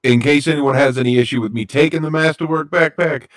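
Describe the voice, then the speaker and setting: A man playing a tabletop role-playing game, describing his character's actions to the game master with many halting fillers. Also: fairly high pitch.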